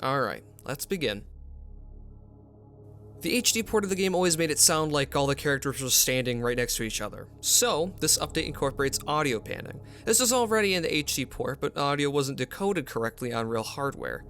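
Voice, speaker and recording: A man presenting a software update video in scripted explainer narration. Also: a little high, sing-song, a little fast. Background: music.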